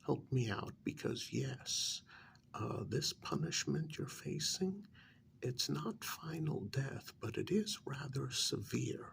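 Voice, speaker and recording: A man playing a patient but exasperated vampire official in a soft-spoken ASMR role-play, halting and coaxing, with a breathy voice that often nears a whisper. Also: medium pitch, big pitch swings, exaggerated voice. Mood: coaxing, concerned. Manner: halting, coaxing, many pauses.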